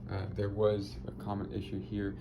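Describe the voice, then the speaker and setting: A man with a raspy voice talking casually about his finished spray painting, hesitant with many pauses and fillers. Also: fairly low pitch, monotone, background noise. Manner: hesitant, many ums and restarts. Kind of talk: casual video commentary. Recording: muffled audio with loud music behind. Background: loud background music.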